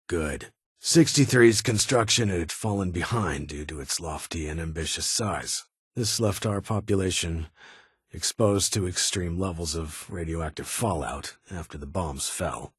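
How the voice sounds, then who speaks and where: A stern ghoul officer from a video game, with a very rough, raspy and low voice. He speaks in clipped, formal military fashion, guarded and dutiful, with dry authority. The sound is close and dry. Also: dry recording, full character voice. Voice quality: raspy, gravelly. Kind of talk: video game character dialogue.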